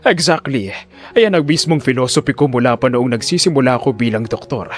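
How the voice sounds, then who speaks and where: A man's voice: mid-range voice, a little fast, soft background music, lightly acted. A man playing a smug older hospital director in an audio drama, avuncular and self-important, putting on benevolence. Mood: pompous, persuasive. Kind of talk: audio drama villain dialogue.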